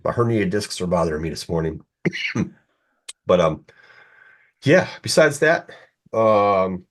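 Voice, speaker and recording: A man's voice: low-pitched, big pitch swings, takes it slowly. A man in his forties co-hosting a podcast in a casual, rambling, opinionated and informal way. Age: 40s. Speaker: podcast co-host. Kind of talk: casual chat.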